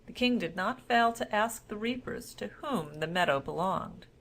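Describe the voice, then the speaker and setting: A woman storyteller narrating a scripted fairy tale in a formal, measured manner. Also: medium pitch, expressive, a bit bright.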